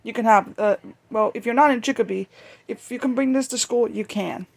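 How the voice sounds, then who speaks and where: Someone casually showing off a collection in a home-made vlog, talking in a halting, rambling way. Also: mid-range voice, vocal fry. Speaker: video creator showing a radio collection. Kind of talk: casual show-and-tell vlog.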